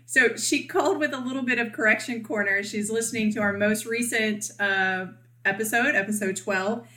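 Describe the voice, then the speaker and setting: A woman co-hosting a podcast in casual chat, talkative and quick to laugh, often acting out what others said. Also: fairly high pitch, fairly even intonation, pushed and bright, echoey room. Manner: chatty, laughs often, acts out quoted voices. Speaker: podcast co-host.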